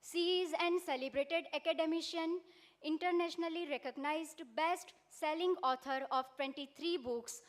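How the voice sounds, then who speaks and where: Woman, high voice, conference emcee, formal read-out introduction. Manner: read-out, ceremonious delivery.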